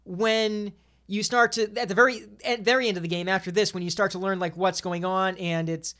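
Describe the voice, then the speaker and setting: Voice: medium pitch, steady tone, brisk, a bit bright. A speaker chatting casually about video games on a podcast in a rambling, stammering way, often repeating phrases.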